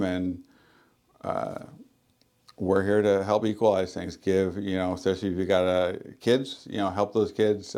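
A man with a fairly low voice talks as a guest on a radio interview. He is a librarian and speaks loudly, with many ums, restarts and friendly asides. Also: gravelly. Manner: loud, chatty, many fillers.

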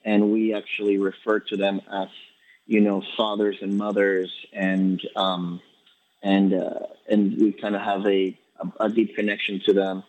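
A man from Ecuador, a poet being interviewed, answering in a quick, halting way with many restarts and fillers. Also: on the low side, completely flat delivery, a little mellow, low-quality recording. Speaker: poet guest.

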